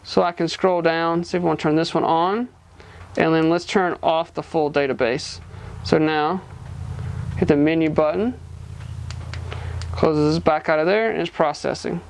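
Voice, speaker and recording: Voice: medium pitch, steady tone, normal speed, gravelly, long pauses, a little hiss or hum. A person giving a casual, hands-on product demonstration, explaining informally step by step. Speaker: gadget reviewer.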